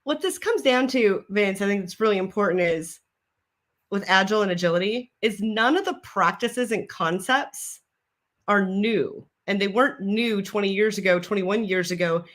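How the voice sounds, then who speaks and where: A woman's voice: medium pitch, fairly bright tone. Minnesotan woman explaining on a video call. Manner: confident, explanatory, quick laughs. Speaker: guest expert. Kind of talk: casual video call chat. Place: office room, indoors. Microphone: video call webcam.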